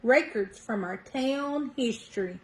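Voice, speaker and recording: A woman voicing a polite, earnest little girl in a children's storybook, with a gentle manner. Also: fairly high pitch, takes it slowly, poor audio.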